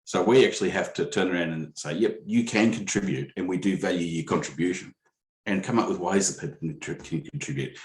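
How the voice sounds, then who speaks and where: A man answering as a panellist over a video call, speaking in a halting way with many ums and restarts. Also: sits low, echoey room.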